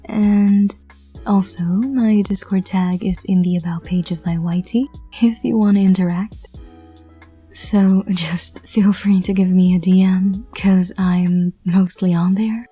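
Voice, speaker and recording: A soft-spoken woman with a whispery, breathy voice, narrating a roleplay and hosting her channel. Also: medium pitch.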